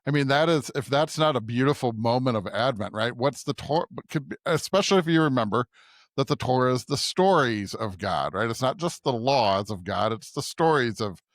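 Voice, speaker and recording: A man's voice: medium pitch, animated, brisk, no room sound, studio quality. A man co-hosting a conversational podcast on scripture, thinking aloud reflectively and often checking in with a tag question. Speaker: podcast co-host. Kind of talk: conversational Bible discussion.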